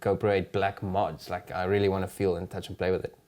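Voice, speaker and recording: Afrikaans-accented male co-host, 30s, lower register, upbeat studio chat. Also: little pitch movement, fast, dark tone. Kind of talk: casual tech chat. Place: studio set with a table. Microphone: boom mic on an arm.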